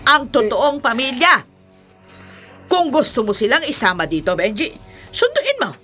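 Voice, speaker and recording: A woman's voice: sits high, very animated, a little slow, sharp tone, soft background music, heavily acted. A woman playing a possessive, domineering older Filipino mother with an insistent manner in a radio drama.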